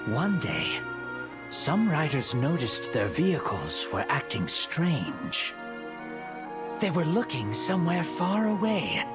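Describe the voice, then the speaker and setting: A man tells a storybook-like tale for a video game in a dramatic, measured way. His delivery is very unhurried, with wide swings in intonation. Prominent music plays behind his voice. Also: on the high side, huge pitch swings. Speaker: narrator. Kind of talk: scripted storybook-like game cutscene narration.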